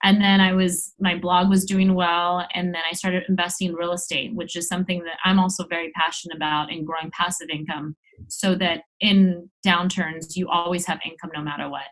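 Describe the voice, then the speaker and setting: A woman's voice: lowish voice, little pitch movement, a little fast, a bit bright, low-quality recording. A woman in her mid-thirties from Los Angeles with an American accent, talking candidly and at length as a guest in a casual interview. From Los Angeles, United States. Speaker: interview guest, travel blogger.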